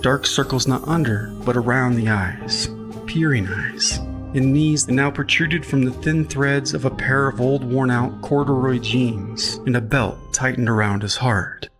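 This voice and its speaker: A man reading scripted literary fiction as a podcast narrator, with a measured storytelling delivery. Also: medium pitch. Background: music, guitar.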